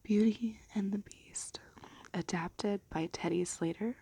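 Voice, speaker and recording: A woman speaking in a gentle, unhurried, hushed and breathy ASMR style, soft-spoken and half whispered, as for bedtime stories. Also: medium pitch. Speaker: ASMR video host and storybook reader.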